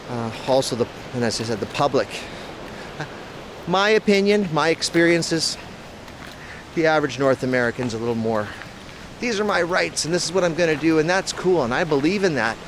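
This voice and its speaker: Rambling Canadian man over hiss. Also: medium pitch. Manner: rambling, thinks aloud with many restarts. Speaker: vlogger. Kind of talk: casual outdoor talk to camera.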